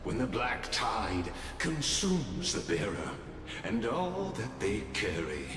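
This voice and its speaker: Deep theatrical herald guy, swings his pitch all over, slow, big echoey game scene with loud music. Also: wildly swooping pitch, exaggerated voice. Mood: solemn. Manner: theatrical, declaiming, playful. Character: grandiose, teasing male herald of ritual.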